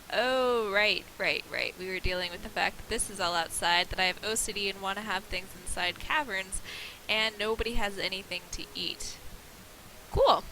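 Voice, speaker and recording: Nasal woman, mid pitch, slight cold; rambling, self-mocking let's play host; noisy desk-mic recording. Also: pushed and bright. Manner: chatty, self-deprecating, rambling. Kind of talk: casual gameplay commentary.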